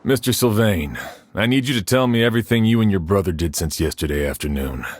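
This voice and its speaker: Deep-voiced serious investigator. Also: male voice, lively intonation, no echo. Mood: businesslike, probing. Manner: measured, businesslike. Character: calm, serious male investigator questioning a witness. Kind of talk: video game voice acting.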